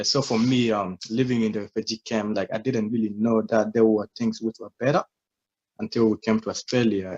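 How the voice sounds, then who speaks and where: A man's voice: fairly low pitch, a little mellow, breathy edge, muffled recording. A young man from South Sudan in his twenties, a humble and reflective guest telling his story in a casual interview.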